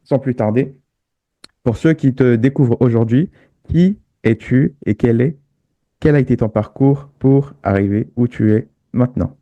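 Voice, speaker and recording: A man presents an interview podcast as its host. His voice is soft-edged and mellow at a medium pitch, recorded very dry with hardly any room around it. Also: a little slow, no room sound, muffled recording.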